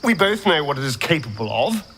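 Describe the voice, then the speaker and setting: Theatrical, wary man, strikingly animated. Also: on the high side, a little fast, slight background noise. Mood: wary, wry. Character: wary male former slave guarding his regained body. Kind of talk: dramatic game dialogue.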